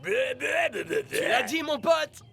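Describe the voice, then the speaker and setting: An actor playing a brash, matey young footballer with slangy, exclamatory speech, in French cartoon dubbing. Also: male voice, extremely high pitch, expressive, drawn-out words, gravelly, sharp tone, slightly rough, full character voice. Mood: fired up.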